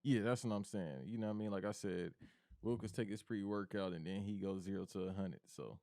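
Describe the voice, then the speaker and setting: Deep-voiced laid-back man, dry sound. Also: brisk, mellow, no echo. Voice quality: deep bass voice. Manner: laid-back, slangy, says bro a lot. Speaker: podcast co-host. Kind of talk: casual chat.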